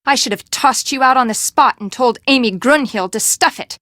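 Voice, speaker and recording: A woman's voice: sits high, moderate pace, bright, forceful tone, dry recording, clean studio sound. A woman voice actor playing a haughty, hot-tempered, eccentric woman with a melodramatic delivery in a video game. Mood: menacing.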